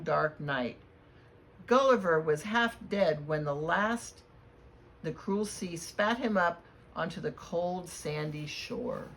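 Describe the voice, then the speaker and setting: A woman reading a storybook aloud to children in a deliberate, expressive way. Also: low-pitched. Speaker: children's read-aloud reader at a library. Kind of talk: storybook read-aloud with spoken introduction. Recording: clear background noise.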